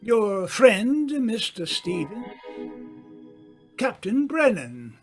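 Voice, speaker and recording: Man acting a suave, theatrical mystery writer, lively high voice. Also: soft background music, heavily acted. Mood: smug, genial. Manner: deliberate, dramatic, savouring pauses. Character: suave, theatrical male mystery writer, a knowing dinner guest. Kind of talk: old-time radio mystery acting.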